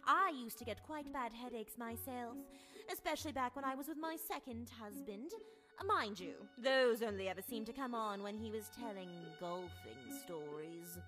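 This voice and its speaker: A woman's voice: fairly high pitch, normal speed, natural voice. A woman playing a genteel, gossipy society widow in a visual novel, with prim, mannered, chatty phrasing. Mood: wistful, chatty. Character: genteel, gossipy society widow, married more than once. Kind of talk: voiced visual novel dialogue. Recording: music behind the voice. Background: game music.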